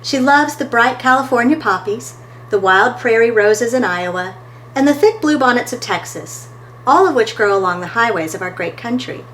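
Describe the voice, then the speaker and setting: A woman's voice: fairly high pitch, expressive, normal speed, slight background noise, poor audio. A woman reading a picture book aloud as a storytime host, expressively and talking to her listeners between pages.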